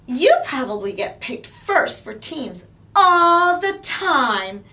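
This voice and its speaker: Bubbly schoolgirl acted by a woman, high swooping pitch, gushing, exclaiming, storybook read-aloud. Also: big pitch swings, slow pace, exaggerated voice. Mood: bright, friendly. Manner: bright, exclaiming, squealing and gasping. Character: bubbly, gushing, fashion-loving new schoolgirl.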